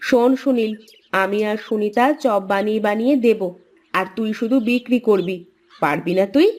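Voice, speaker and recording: A woman's voice: medium pitch, normal speed, darkish tone, natural voice. A woman voicing a practical, encouraging village housewife in a cartoon moral story, with an earnest, coaxing delivery. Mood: brisk.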